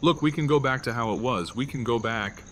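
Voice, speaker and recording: An actor playing a blustering villainous gang boss, cornered and desperate, in an audio drama. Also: male voice, medium pitch, a little fast, slight background noise, natural voice. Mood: defensive pleading. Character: desperate, blustering male villain henchman leader, cornered and pleading.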